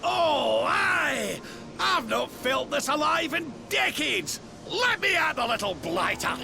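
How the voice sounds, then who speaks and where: High, creaky old Scottish warrior. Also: male voice, very animated, takes it slowly, exaggerated voice. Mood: fired up. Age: older. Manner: theatrical, exclaiming. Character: spirited elderly Scottish warrior, eager and excitable. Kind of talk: dramatic video game voice acting. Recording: loud music behind the voice. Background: loud game music.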